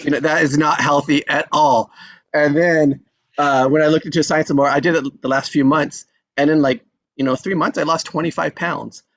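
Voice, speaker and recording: A man's voice: fairly high pitch, steady tone, normal speed, fairly bright tone, no echo, highs cut off. A man hosting a casual online chat after a table read, talking in a chatty, self-deprecating way. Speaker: host.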